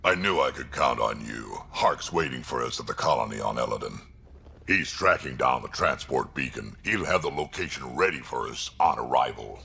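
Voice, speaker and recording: Battle-worn old alien brute, gravelly, forceful, gruff, blunt, game character. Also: male voice, lively intonation, normal speed, slight background noise, heavily acted. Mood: grave, urgent. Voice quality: very deep, gravelly, raspy growl. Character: gruff old male krogan alien warrior.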